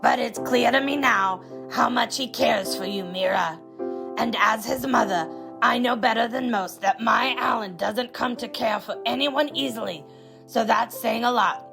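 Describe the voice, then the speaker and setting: A woman's voice: a little high, measured pace, creaky. A middle-aged woman playing a doting, teasing mother of a grown son in an audio drama, blunt and chatty. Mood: fond. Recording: music playing loudly behind.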